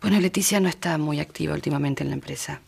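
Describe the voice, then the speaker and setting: Brief: conciliatory Argentine businesswoman daughter, husky, fast, explanatory, TV melodrama. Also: low voice, a little mellow, heavily acted. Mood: placating. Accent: Argentine Rioplatense. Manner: explanatory, placating. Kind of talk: TV melodrama acting.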